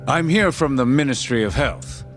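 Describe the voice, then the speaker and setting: A man acting as a haughty government official in a game drama. His mid-range voice is formal and measured, coolly threatening. Music plays clearly underneath. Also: medium pitch, lively intonation, natural voice. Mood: coolly polite.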